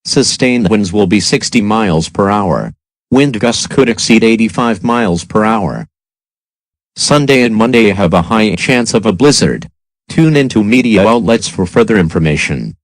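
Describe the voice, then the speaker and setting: A man plays a weather service announcer reading a scripted forecast. The voice sits fairly low and is recorded dry, without room echo. The delivery is formal and matter-of-fact. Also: lively intonation, normal speed.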